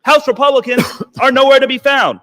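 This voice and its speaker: A man's voice: very high-pitched, fairly quick, pushed and bright. A man, a congressman, giving a sarcastic, indignant floor speech in a legislative chamber. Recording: long reverb of a large chamber.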